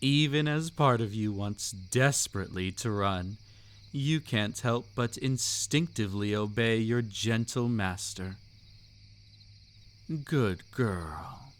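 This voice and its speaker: A man's voice: on the low side, slow pace. A man playing a seductive, commanding vampire count in an intimate audio roleplay, suave, teasing and theatrically formal. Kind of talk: intimate roleplay audio drama, scripted monologue.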